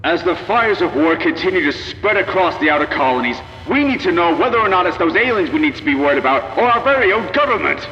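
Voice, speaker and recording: An actor playing an indignant, accusing colonial representative giving a rhetorical speech over a broadcast in an audio drama. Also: male voice, sits high, animated, quick pace, hardly any pauses, soft background music. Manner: forceful, rhetorical. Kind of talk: political oratory in audio drama. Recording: echoey hall, dull broadcast sound.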